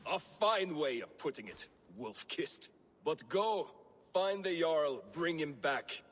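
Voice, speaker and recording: An actor playing a proud, resentful Viking raider in a video game, sneering and sarcastic. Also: male voice, a little high, expressive, slow pace, fairly bright tone, big-room sound, full character voice. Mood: scornful.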